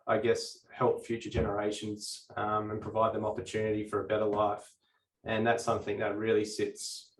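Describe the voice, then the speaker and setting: Low monotone guy rambling earnestly, sounds far from the mic in an echoey room. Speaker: co-host. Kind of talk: interview questions.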